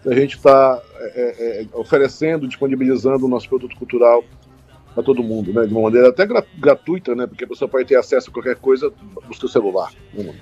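A man chatting as an interview guest, husky and halting, often repeating words and adding tag questions. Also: mid-range voice, darkish tone. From Bragança, Pará, northern Brazil. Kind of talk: casual interview answers. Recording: dull lo-fi line, music behind.

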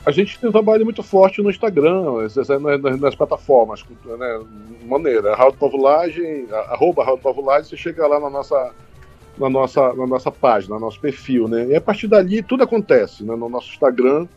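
A man chatting as an interview guest, husky and halting, often repeating words and adding tag questions. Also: mid-range voice, expressive, a little mellow. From Bragança, Pará, northern Brazil. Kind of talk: casual interview answers. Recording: dull lo-fi line, music behind.

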